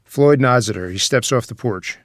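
A man's voice: medium pitch, normal speed, no room sound. A man reading stage directions as scripted narration for a screenplay table read.

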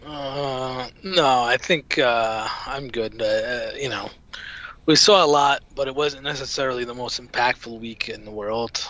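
A man's voice: mid-range voice, measured pace, sharp tone. A man co-hosting a football podcast, rambling and hesitant as he thinks aloud, recorded on dull lo-fi audio. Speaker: podcast co-host. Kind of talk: casual football chat.

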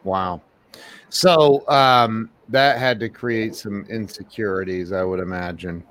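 Male interview host, slow and halting, dry sound. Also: lowish voice, fairly bright tone, no room sound. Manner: halting, many fillers and restarts. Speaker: podcast host. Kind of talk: casual interview.

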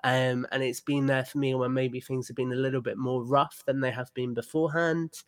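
A man's voice: medium pitch, flat delivery, a little fast, dry recording. A man chatting casually and earnestly as a podcast guest, effusive in how he talks.

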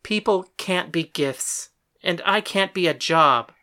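A woman voicing a bright, heartsick young woman. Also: lowish voice, takes it slowly. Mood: dejected. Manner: soft, earnest and hurt.

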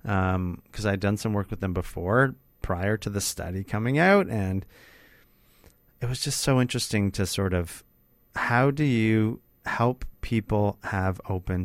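A man's voice: fairly low pitch, unhurried, no echo. A middle-aged man talking casually and reflectively in a conversational interview, with an earnest way of explaining things. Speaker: workshop facilitator and speaker, interviewing.

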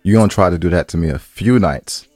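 A man's voice: sits low, fast, a little mellow, no room sound, soft background music. An American man co-hosting a podcast, chatting casually with slang and profanity, teasing and repeating phrases for emphasis. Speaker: podcast co-host. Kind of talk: casual bantering chat with profanity.

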